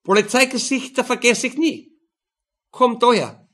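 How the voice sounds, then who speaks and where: A man's voice: very high voice, measured pace, fairly bright tone, pitched above the performer's own voice, heavily acted. A man voicing a brash, mocking middle-aged Viennese prostitute in an audiobook, blunt and teasing. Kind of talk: audiobook character voice.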